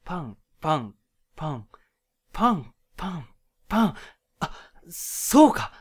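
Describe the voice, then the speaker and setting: A male actor as an exasperated captive in a comic radio play, quick with comebacks. His delivery swings widely in pitch, from deadpan muttered asides to loud, indignant retorts. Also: slightly rough, exaggerated voice. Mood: earnest, intense. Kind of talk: comedic Japanese radio drama acting.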